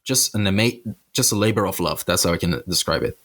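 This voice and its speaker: Podcast host, male, low voice, eager and chatty. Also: lively intonation, fairly quick, fairly bright tone. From Iran. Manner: enthusiastic, fills with "like". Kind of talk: casual podcast conversation.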